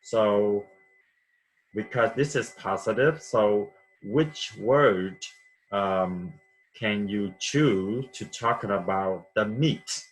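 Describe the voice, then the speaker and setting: A man's voice: on the low side, drawn-out words, poor audio. A man from Vietnam teaching vocabulary patiently, repeating words and checking with "okay?". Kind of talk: classroom vocabulary lesson.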